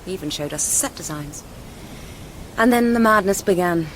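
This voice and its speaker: A woman's voice: lowish voice, expressive, moderate pace, a little hiss or hum, natural voice, poor audio. An actress playing an articulate young Englishwoman, educated, confiding and lightly teasing, in naturalistic radio drama dialogue.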